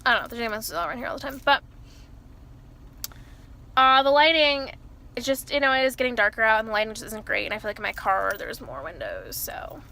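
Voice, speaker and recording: Bright, loud-voiced young woman rambling. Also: a little high, expressive, fairly quick, creaky. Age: early 20s. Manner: rambling, self-interrupting, many fillers like "like" and "you know". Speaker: vlogger. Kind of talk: casual confessional chat to camera. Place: inside her parked car.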